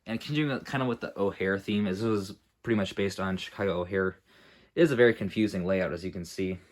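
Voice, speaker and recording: A man's voice: low voice, quick pace. A young man with a sore, dry throat casually narrating a walkthrough of his model airport, rambling with many fillers and self-corrections. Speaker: model airport hobbyist showing his build.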